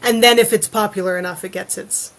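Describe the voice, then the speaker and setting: A woman's voice: medium pitch, steady tone, fairly quick, a bit bright, low-quality recording. A woman talking casually as a podcast guest, in a conversational, informal way. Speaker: podcast guest, illustrator and author. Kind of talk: casual chat.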